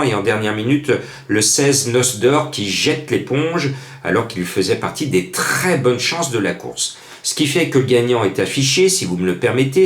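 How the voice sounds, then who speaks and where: A male horse racing tipster speaks informally, as if off the cuff. His delivery is chatty and emphatic, with phrases repeated to drive a point home. The voice sits in a noticeably echoey room. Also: medium pitch.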